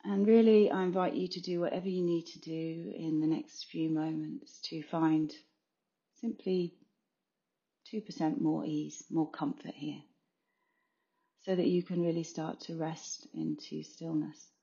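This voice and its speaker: A woman's voice: low-pitched, steady tone, measured pace, gravelly, dark tone. A woman soothingly guiding a yoga nidra meditation in short phrases with gaps between them, on a slightly muffled session recording. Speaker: meditation guide, consultant.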